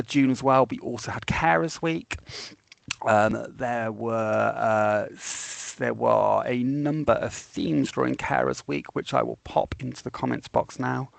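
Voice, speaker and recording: A man's voice: on the low side, vocal fry, dry recording. A man hosting an online meeting, giving an update with many hesitant fillers. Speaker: meeting host.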